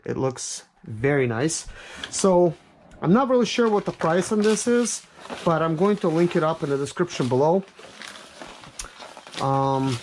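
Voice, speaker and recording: A man's voice: mid-range voice, darkish tone. A man giving casual, hands-on unboxing commentary as a reviewer, thinking aloud in an informal, hesitant way. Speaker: product reviewer and vlog host. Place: office. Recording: clear background noise with clicks.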